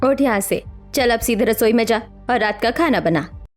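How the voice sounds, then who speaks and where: A woman's voice: a little high, lively intonation, normal speed, faint music behind, natural voice. A woman playing a cruel, middle-aged mother-in-law in a cartoon story, scolding and giving commanding orders. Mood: bossy, scornful. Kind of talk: dramatic Hindi cartoon story acting.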